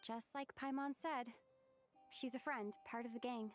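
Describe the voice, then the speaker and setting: An actress playing an earnest, determined young heroic traveler in a video game, speaking in a measured way. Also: female voice, on the high side, fairly even intonation, soft background music, close to an everyday voice.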